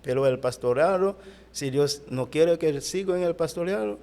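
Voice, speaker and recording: A middle-aged Haitian man, a pastor whose first language is Haitian Creole, speaking Spanish haltingly with a Haitian accent in an interview. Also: on the high side, a little mellow. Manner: halting, searching for words in Spanish. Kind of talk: interview answers.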